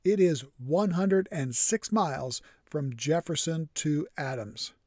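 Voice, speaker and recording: A man's voice: on the high side, takes it slowly, a little mellow, no echo. A man narrating his camping trip in a scripted voice-over, matter-of-fact with dry humor. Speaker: vlogger.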